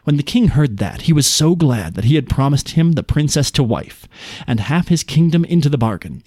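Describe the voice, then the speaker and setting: A man narrates a folk tale in the manner of a podcast storyteller. His voice sits at a medium pitch, recorded very dry and close. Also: darkish tone, no room sound. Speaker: podcast host.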